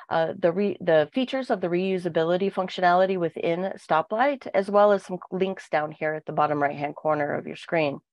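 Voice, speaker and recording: A woman with a cold, sounding nasal, walking through software on a screen share with plenty of fillers. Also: on the low side, no echo, lo-fi sound. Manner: steady explaining with frequent fillers. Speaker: product trainer. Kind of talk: software walkthrough.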